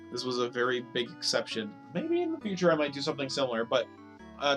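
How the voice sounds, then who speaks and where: A man streaming games, talking casually and rambling with lots of fillers, his voice slightly hoarse. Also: mid-range voice, fairly bright tone. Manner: rambling, filler-heavy, repeats words. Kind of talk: casual live-stream chat over gameplay.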